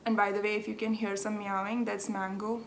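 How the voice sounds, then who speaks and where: A young Indian woman hosting a podcast in relaxed chat, explaining patiently and often laughing at herself. Her pitch stays fairly even and level, with a faint hum of ambience behind her. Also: fairly quick. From South India, Andhra Pradesh. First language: Telugu. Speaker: podcast host. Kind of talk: casual chat.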